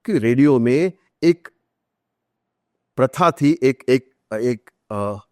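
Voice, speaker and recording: A man's voice: medium pitch, animated, extremely slow, darkish tone, dry recording, clean studio sound. A man with an Indian accent tells stories in an interview, switching between Hindi and English with emphatic repetition. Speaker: author, podcast guest. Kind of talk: conversational storytelling in an interview.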